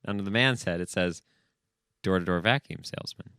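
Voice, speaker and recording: A man co-hosting a podcast, reading a script casually and breaking off for deadpan, questioning asides. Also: deep voice, a little fast, clean tone, no room sound, clean studio sound. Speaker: podcast co-host.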